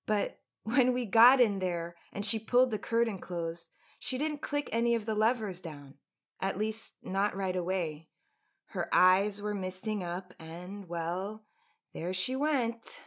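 A woman's voice: medium pitch, unhurried, fairly bright tone, close to an everyday voice. An actress playing an earnest, polite young woman in a radio drama. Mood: fond, reminiscing.

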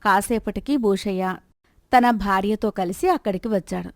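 A woman's voice: mid-range voice, moderate pace, no echo, studio quality. A woman narrating a scripted moral story.

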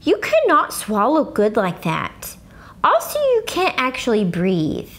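A woman in her thirties reading a children's book aloud as an expressive, dramatic storyteller. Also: fairly high pitch, slow pace. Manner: animated, dramatic. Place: furnished living room, indoors. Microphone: lapel mic.

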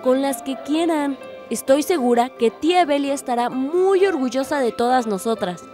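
An actress playing an enthusiastic young activist daughter in a radio soap opera. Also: female voice, sits high, animated, moderate pace, mellow, soft background music.

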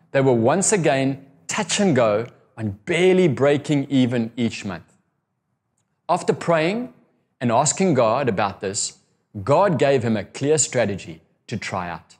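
Middle-aged male pastor, lively rising and falling voice, earnest sermon, indoor room, camera mic. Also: a little high. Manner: earnest, expressive preaching with hand gestures and drawn-out emphasis.

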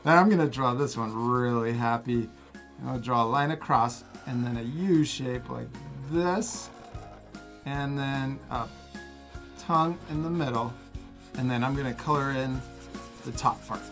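A man's voice: medium pitch, measured pace, music in the background. A man in his thirties giving a casual step-by-step drawing lesson for children, encouraging and often chuckling. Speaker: drawing instructor and host. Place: indoor studio, at a table.

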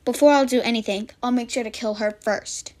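Woman as a scheming, high-voiced camp counselor. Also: steady tone, a little fast, darkish tone, dry recording, natural voice. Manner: bossy, falsely sweet, scheming. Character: secretly murderous female camp counselor, falsely sweet.

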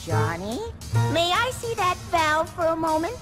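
A man's voice: very high voice, huge pitch swings, unhurried, full character voice. A man playing a prim, anxious, brainy boy in a cartoon game, speaking politely in fussy, precise, wordy phrases. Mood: precise curious. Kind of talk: cartoon video game acting. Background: music.

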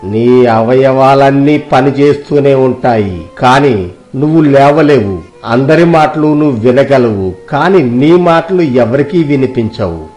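A man acts out a wise male monk from a moral tale, over background music. He teaches patiently in a measured, fatherly way, with calm assurance. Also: medium pitch, lightly acted. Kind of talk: Telugu moral story dramatization.

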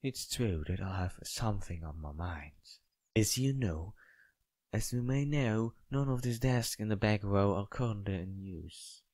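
A man with a non-native English accent voicing a composed, mysterious young woman from a visual novel, in a measured, hesitant manner. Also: lowish voice, lively intonation, a little mellow, dry recording. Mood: measured, reflective. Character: mysterious, composed young woman, oldest student, soft-spoken.

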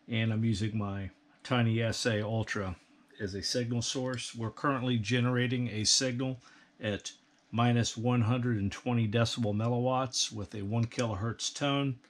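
A middle-aged American man narrating an instructional bench review in a measured, precise technical manner. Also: fairly low pitch. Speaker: host, radio equipment reviewer. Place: indoor electronics workbench.

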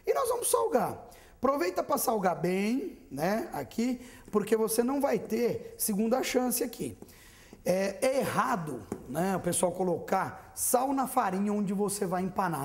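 Lively man demonstrating a recipe. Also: high voice. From Brazil. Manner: lively, chatty, addressing viewers directly. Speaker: TV cooking show chef. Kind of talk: cooking demonstration. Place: studio kitchen set. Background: frying and sizzling.

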